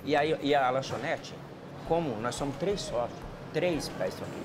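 A man's voice: mid-range voice, normal speed, noisy background. A man in his fifties with a northeastern Brazilian accent, plainly answering questions as a snack bar owner. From Ceará, northeastern Brazil. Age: 50s. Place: small snack bar, indoors.

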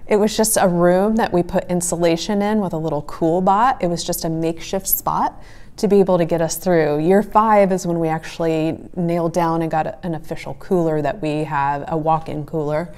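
A woman's voice: fairly low pitch, steady tone, moderate pace, a little mellow. A woman in her forties giving conversational, explanatory advice to camera as a farmer and host. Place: small wood-panelled room indoors by a stove.

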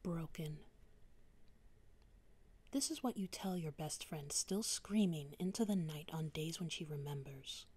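A young woman reads poetry for ASMR in a hushed, breathy whisper, drifting nearer and farther from the microphone. She takes her time over every line, unhurried and slow. When her voice rises above the whisper, it sits a little low. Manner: soft unvoiced whispering, varied mic distance.

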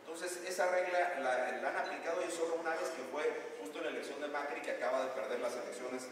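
A middle-aged Mexican man speaking formally at a conference, explaining things in a deliberate, wordy way. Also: on the high side, fairly bright tone. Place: hotel conference room, indoors. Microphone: podium microphone. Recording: echoey hall, distant, faint noise.

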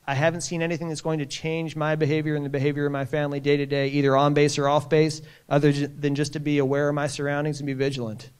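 A man's voice: on the high side, clean tone. A man hosting a base town hall, reading out audience questions and answering them in a plainspoken, reassuring way. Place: conference center.

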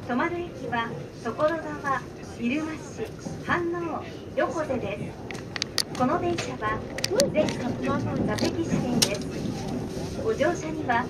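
A woman reading a polite, formal scripted announcement to train passengers. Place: inside a moving train. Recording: distant train speaker, loud rumbling train noise. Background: loud train running noise.

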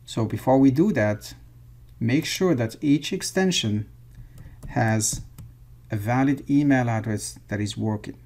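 A man's voice: medium pitch, unhurried, a little mellow, a little hiss or hum. A man presenting an instructional screencast, explaining things step by step. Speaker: software tutorial presenter.

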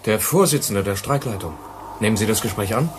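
A man's voice: on the low side, lively intonation, brisk, heavy background noise, lightly acted. A man playing an anxious, deferential subordinate at a Venus mining base in a German radio drama. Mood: worried.

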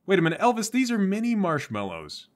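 A man's voice: high-pitched, wildly swooping pitch, fast, voice pushed up. A man playing a bossy, exasperated police officer in an improvised comedy tabletop game, barking orders and indignant questions.

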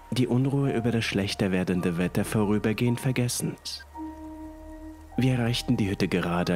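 Low-voiced man narrating horror over music. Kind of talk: scripted first-person horror narration.

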